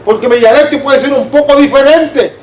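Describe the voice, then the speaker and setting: A man's voice: very high-pitched, normal speed, darkish tone. A man with a Caribbean Spanish accent teaching an informal church Bible class, telling anecdotes conversationally and often checking that listeners follow. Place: church room. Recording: distant roomy mic, background noise.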